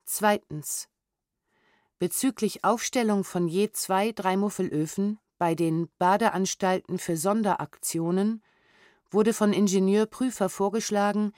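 A woman's voice: on the low side, darkish tone, no room sound, clean studio sound. A woman reading historical documents as a narrator, in a formal, sober and precisely enunciated documentary style.

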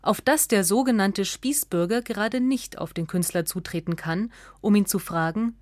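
A woman reads a scripted radio essay as narrator, in a measured, articulate way. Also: mid-range voice, darkish tone, dry recording.

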